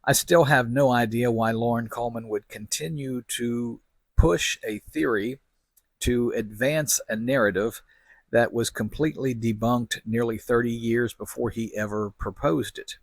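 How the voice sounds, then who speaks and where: A man hosting a podcast, delivering semi-scripted commentary in a folksy, wry manner with deliberate phrasing. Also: medium pitch, vocal fry, no echo. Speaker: podcast host.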